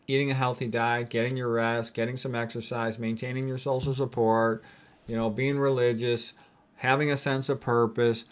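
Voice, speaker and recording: A man's voice: mid-range voice, level tone, moderate pace, clear, resonant tone. A man gives an informal explanatory talk in the role of a doctor. His delivery is blunt and colloquial, full of conversational asides and firm opinions. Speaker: doctor giving a health talk. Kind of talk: informal explanatory lecture with commentary.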